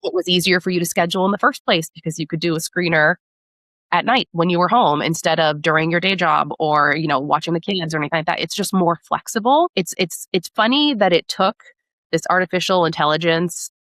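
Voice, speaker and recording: A woman's voice: lowish voice, brisk, a bit bright, no echo. A woman speaking as a podcast guest in a conversational interview, explaining things and often checking in with a tag question. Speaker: podcast guest, product marketing director.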